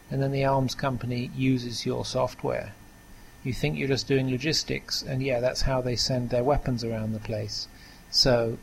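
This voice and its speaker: A man's voice: medium pitch, gravelly, poor audio. A man hosting a podcast, speaking in an earnest, conversational monologue straight to his listeners, with noticeable background noise. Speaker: podcast host. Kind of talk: opinionated informal monologue to listeners.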